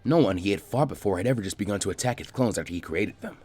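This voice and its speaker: Male narrator, scripted storytelling, brisk pace, animated intonation, medium pitch. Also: breathy edge, faint music behind. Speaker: fan fiction narrator.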